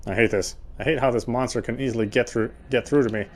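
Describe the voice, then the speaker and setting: A man's voice: mid-range voice, fairly even intonation, fast talker. A man playing a visual novel, reading its narration aloud in a dramatic storytelling style. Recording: faint background noise.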